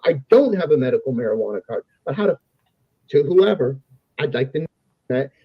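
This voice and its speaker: A man's voice: medium pitch, big pitch swings, fairly quick, husky, no echo. A man talking in a rambling, argumentative way, combative, sweary and disjointed. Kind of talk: casual, rambling argumentative talk. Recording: dull, lo-fi close audio.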